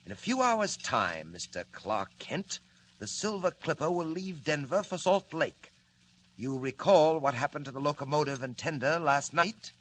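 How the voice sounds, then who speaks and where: Gang boss, vintage radio serial. Articulate, taunting, menacing, lively intonation, faintly noisy old recording. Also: male voice, fairly high pitch, moderate pace. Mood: smug, menacing. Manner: taunting, mock-courteous, articulate. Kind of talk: 1940s radio serial acting.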